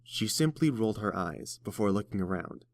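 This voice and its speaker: Low-voiced man narrating a story. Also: lively intonation, moderate pace, gravelly, darkish tone, no room sound, clean studio sound.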